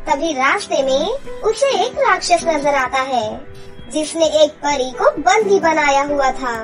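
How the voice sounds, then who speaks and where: A woman's voice: high voice, lively intonation, takes it slowly. A woman reading scripted narration for a children's story. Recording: clear music behind the voice. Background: children's music.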